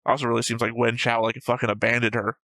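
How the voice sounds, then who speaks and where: Blunt guy co-hosting a reaction show, sweary and argumentative, sharp bright voice, dry close sound. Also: mid-range voice, little pitch movement, quick pace, no echo. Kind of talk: casual discussion of an anime episode.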